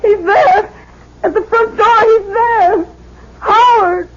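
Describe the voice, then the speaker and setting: A woman's voice: very high voice, fairly even intonation, slow, heavy background noise. An actress playing a fragile, distressed young American woman in an earnest, hesitant 1970s radio drama style. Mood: terrified. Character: fragile, distressed young woman convinced she imagined her marriage. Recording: muffled vintage radio broadcast.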